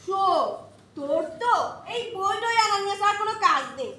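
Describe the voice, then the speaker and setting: A woman's voice: very high voice, expressive, moderate pace, sharp tone, heavily acted. A woman playing a bossy, haughty young princess in a stage play, with a Khulna Bengali accent. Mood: commanding. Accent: Khulna regional Bengali. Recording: echoey stage, some noise.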